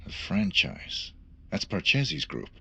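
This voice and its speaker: An actor playing a cold, terse professional hitman in a video game, clipped and deadpan. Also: low voice, measured pace, gravelly, breathy edge, lo-fi sound, exaggerated voice. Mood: cool, businesslike. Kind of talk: video game cutscene acting.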